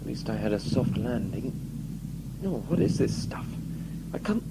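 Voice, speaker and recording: A man's voice: medium pitch, very animated, moderate pace, creaky, heavy background noise. An actor playing an exasperated, sarcastic, hapless man on an adventure in an audio drama. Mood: grumbling. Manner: sarcastic, complaining.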